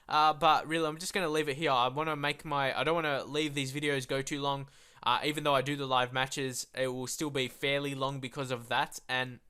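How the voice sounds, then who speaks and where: Young male gamer, bright tone, stuffy nose, loose chatty commentary. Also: medium pitch, clear, resonant tone. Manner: casual rambling with many fillers. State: congested, blocked nose. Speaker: gaming YouTuber. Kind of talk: live game commentary.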